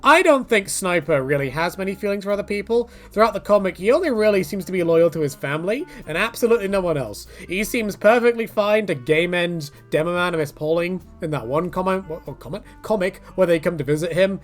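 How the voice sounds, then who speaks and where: A man with a fairly high voice talks in a very animated, swooping way. He rants casually and with strong opinions, rambling and breaking into his own points with tongue-in-cheek asides. Music plays behind him. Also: fairly quick, hardly any pauses. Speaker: host of a game-character rant video. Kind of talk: casual opinionated rant with quoted impressions.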